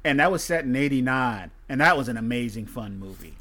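A man's voice: medium pitch, lively intonation, a little fast, bright, forceful tone. Male podcast host, casual and profane, rambles through stories. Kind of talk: casual chat.

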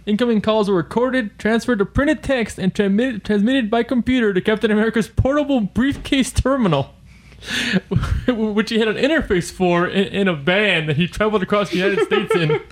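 A man's voice: high voice, lively intonation, moderate pace. A man co-hosting a casual podcast, rambling through comic trivia with frequent laughs and trailing sentences. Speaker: podcast co-host. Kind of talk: casual chat about comics.

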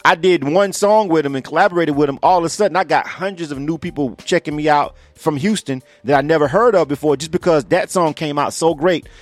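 A man hosting a radio interview, talking fast and animated with slang and frequent laughs. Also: a little high, no room sound. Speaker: radio show host. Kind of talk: casual radio interview chat.

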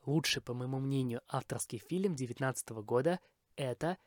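A man's voice: medium pitch, brisk, no room sound, studio quality. A man hosting a podcast, reading a scripted, opinionated film review in formal phrasing.

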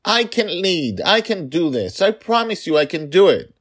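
A man voicing a dragon father who mimics his bossy young daughter in a high, insistent voice. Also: big pitch swings, normal speed, a bit bright, full character voice.

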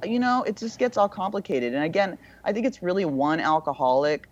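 A woman with a low voice, a podcast guest talking in a frank, irreverent, self-mocking way. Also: expressive, fairly quick. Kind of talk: candid casual conversation. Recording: dull lo-fi sound.

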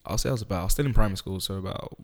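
A man, a podcast guest talking through his childhood in an easygoing, unhurried way with frequent ums. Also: deep voice, creaky, a little hiss or hum. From East London, UK. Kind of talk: casual interview chat.

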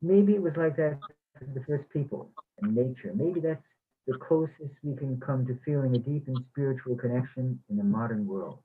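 Man reading calm measured husband, mid pitch, tinny phone-like sound. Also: husky, natural voice. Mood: reflective. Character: stubborn husband who wanted to stay. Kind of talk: readers theatre.

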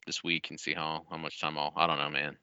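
A man's voice: extremely low pitch, flat delivery, a little fast, bright, forceful tone, clean tone, dry recording, lo-fi sound. A man co-hosting a casual gaming podcast, rambling through self-mocking stories peppered with profanity. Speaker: podcast co-host.

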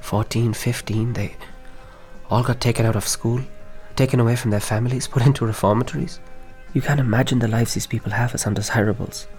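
An actor playing a guarded, earnest young East German activist in a radio drama, speaking in an intense, halting way. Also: male voice, medium pitch, steady tone, a little mellow, faint music behind, natural voice. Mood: grim, grieving.

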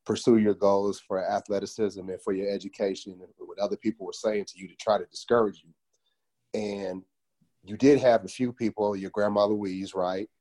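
Lower-voiced American man, thoughtful interviewer. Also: level tone, moderate pace, dark tone. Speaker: interviewer, book podcast host. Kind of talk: conversational interview with reflective comments.

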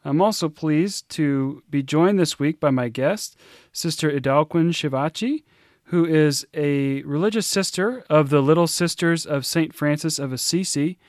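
A man hosting a podcast, reading a polite scripted intro and asking measured interview questions. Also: on the high side, dry recording, studio quality. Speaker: podcast host.

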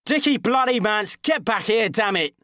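A man's voice: high-pitched, lively intonation, moderate pace, pushed and bright, no echo, studio quality, voice pushed up. A man playing an exasperated, sarcastic divorced man who nags and scolds emphatically in comic audiobook dialogue. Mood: seething.

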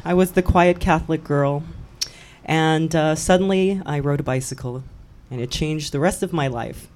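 A woman's voice: low-pitched, a little slow, a little mellow. Chatty woman from Hawaii. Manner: chatty, laughs easily. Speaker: guest, cycling event promoter. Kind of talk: casual radio chat.

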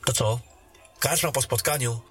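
A man voicing a weary warrior back from a mission in a fantasy audio drama, speaking in a calm, matter-of-fact way. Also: medium pitch, normal speed, close to an everyday voice.

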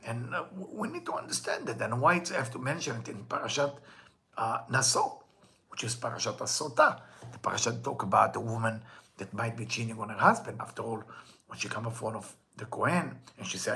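A man's voice: medium pitch, vocal fry, sharp tone. A man with a Hebrew accent, a native Hebrew speaker, giving an earnest weekly Torah lesson as a Kabbalah teacher, asking rhetorical questions and quoting texts in Hebrew. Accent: Israeli Hebrew.

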